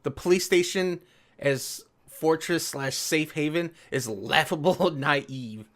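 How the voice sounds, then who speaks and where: Sarcastic reaction commentator, medium pitch. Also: slow pace. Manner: sarcastic, mocking. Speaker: video commentator. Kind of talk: reading an article aloud with reaction commentary.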